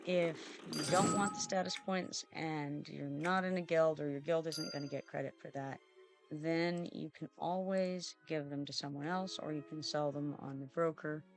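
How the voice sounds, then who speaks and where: A streamer giving relaxed, halting commentary over gameplay, stuffy and sniffling from allergies, with lots of fillers and restarts. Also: mid-range voice. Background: loud background music.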